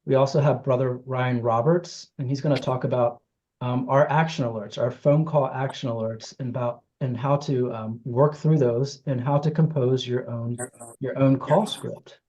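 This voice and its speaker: A host informally welcoming people to an online meeting, speaking haltingly and searching for words. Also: mid-range voice, fairly even intonation, dark tone. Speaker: host, justice team director. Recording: dull, lo-fi meeting audio.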